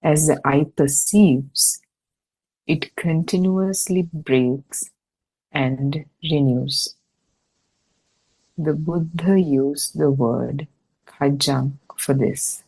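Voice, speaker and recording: A woman reading a religious discussion text aloud for an online study session, in a measured, deliberate way with pauses between short phrases. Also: low-pitched, warm tone, husky, low-quality recording. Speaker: reader and host of an online Buddhist study session. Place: online video meeting.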